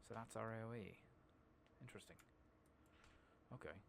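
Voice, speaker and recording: A man giving soft-spoken, partly whispered ASMR commentary as he plays a game, muttering to himself in a halting, unhurried way. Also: fairly low pitch. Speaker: gaming streamer. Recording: clearly noisy, game ambience and clicking.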